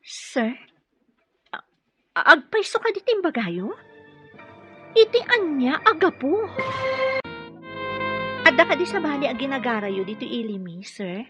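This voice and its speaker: A woman's voice: high-pitched, big pitch swings, a little slow, pauses often, a bit bright, faint music behind, heavily acted. A woman acting as a humble, flustered young female employee, deferential and hesitant, in an Ilocano radio drama. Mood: astonished, flustered.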